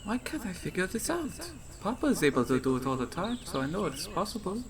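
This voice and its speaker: A man's voice: high-pitched, very animated, a little fast, a crowd in the background, pitched above the performer's own voice. A man voicing an earnest, self-doubting young girl pleading and questioning in an audiobook story. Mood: frustrated. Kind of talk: audiobook character voice.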